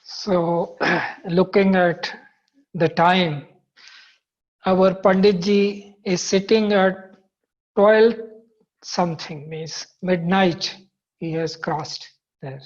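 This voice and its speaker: A male spiritual teacher with an Indian accent and Hindi as his mother tongue speaks in a husky voice. He gives his discourse in halting, considered phrases over muffled online audio. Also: sits high, steady tone. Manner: halting, short pondered phrases. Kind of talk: spiritual discourse in question-and-answer session. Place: online video meeting.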